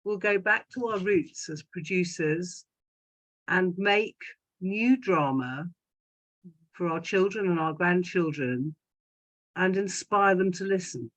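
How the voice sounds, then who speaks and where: An older woman speaking as a panellist, in deliberate, emphatic and measured phrases. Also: lowish voice, lo-fi sound. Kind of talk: thoughtful panel discussion.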